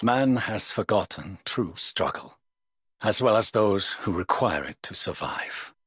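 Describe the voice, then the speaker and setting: An English actor voicing a weary, aloof middle-aged knight in a game, speaking in a dry, measured, world-weary way. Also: male voice, lowish voice, lively intonation, fairly bright tone, breathy edge, no room sound, exaggerated voice. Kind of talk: game voice lines.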